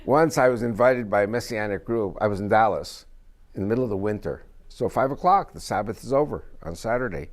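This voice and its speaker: Older joking storyteller man, lowish voice. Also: brisk. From United States. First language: Spanish. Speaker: guest speaker. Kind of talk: informal talk to a small group.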